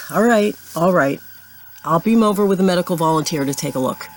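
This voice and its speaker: Woman as weary, low-voiced female starship captain. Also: moderate pace, faint music behind, natural voice, low-quality recording. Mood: reluctant. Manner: terse, wry, commanding. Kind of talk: scripted sci-fi audio drama acting.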